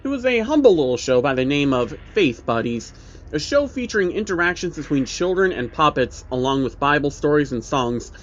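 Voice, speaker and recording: A man's voice: fairly high pitch, very animated, a little fast. A man narrating a scripted story in a casual, sardonic reviewer's manner. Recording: dull lo-fi recording with faint noise.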